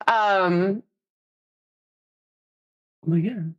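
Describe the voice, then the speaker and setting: A woman's voice: medium pitch, drawn-out words, highs cut off. Congested, nasal young woman streamer. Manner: chatty, rambling, laughs easily. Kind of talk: casual live-stream chat.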